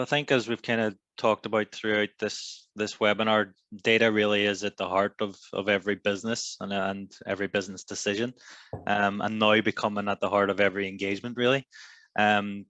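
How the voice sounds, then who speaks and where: Flat-voiced man explaining data. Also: on the low side, no echo, highs cut off. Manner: measured, with frequent ums. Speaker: webinar panelist. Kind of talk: explaining in a webinar.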